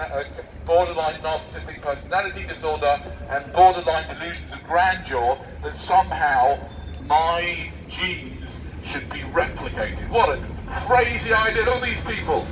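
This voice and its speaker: High-pitched British man heckling through a megaphone. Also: moderate pace, lo-fi sound. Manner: provocative, mocking, rhetorical questioning. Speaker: street speaker debating with a megaphone. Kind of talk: combative public street debate. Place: busy outdoor city street. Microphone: megaphone picked up by a distant camera mic. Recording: megaphone outdoors, distant mic, street noise.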